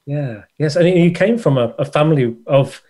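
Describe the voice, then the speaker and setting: A man's voice: a little high, moderate pace, warm tone. A man hosting a casual interview, asking questions in a hesitant, rambling way. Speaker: interviewer, host.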